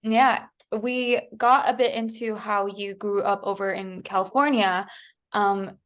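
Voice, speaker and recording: Enthusiastic young woman interviewer. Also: mid-range voice. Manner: polite, appreciative, enthusiastic. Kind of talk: casual interview.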